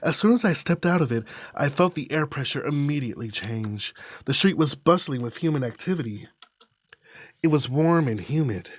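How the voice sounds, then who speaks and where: A man reading a visual novel aloud as a streamer, with dramatic, deliberate phrasing. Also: on the high side, animated, slightly rough. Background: music.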